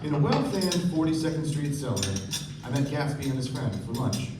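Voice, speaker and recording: A man playing a polite, observant young gentleman who narrates a radio play on stage. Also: a little high, lively intonation, moderate pace, close to an everyday voice. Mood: measured, reflective. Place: theater stage before an audience. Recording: echoey stage, distant mic, noisy room.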